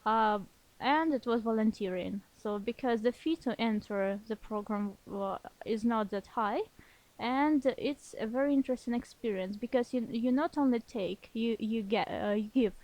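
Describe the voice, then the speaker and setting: A woman with a fairly high voice, speaking as a learned language. She talks casually as a podcast guest, halting often to restart a thought. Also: darkish tone, low-quality recording. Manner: hesitant, many pauses and restarts, laughs lightly. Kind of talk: casual interview talk.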